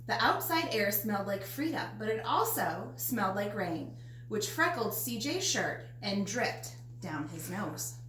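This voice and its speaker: A woman's voice: mid-range voice, very animated, unhurried, a bit bright. A woman reading a children's picture book aloud as narrator. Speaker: storybook reader. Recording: roomy echo, faint background noise.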